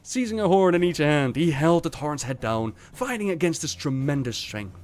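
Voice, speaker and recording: A man narrating a scripted story as host, in an expressive, theatrical way. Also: on the high side, normal speed.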